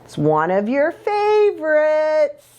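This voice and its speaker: A woman's voice: high voice, huge pitch swings, slow, a little mellow, highs cut off. A woman reading a picture book aloud to children in a playful, exaggerated, sing-song way. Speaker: children's ministry pastor reading a storybook.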